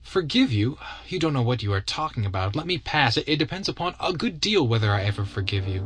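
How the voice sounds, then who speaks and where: A man's voice: mid-range voice, sing-song, fairly quick, a bit bright, voice pushed up. A man voicing an agitated young society woman. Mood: angry. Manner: pleading, flustered, stammering.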